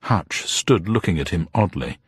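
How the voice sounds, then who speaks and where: A man narrates a detective story with dramatic intent. His voice is low and husky with an animated range, and the recording is dry and close. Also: normal speed, no echo. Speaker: audiobook narrator.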